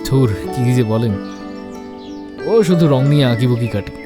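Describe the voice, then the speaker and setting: Actor as courteous, soft-hearted young man for animated romance; mid-pitched, music behind. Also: normal speed, close to an everyday voice. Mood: gentle, teasing. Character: gentle, modest, poetic young man, caring host. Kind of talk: animated love story dialogue. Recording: studio voice under loud background music.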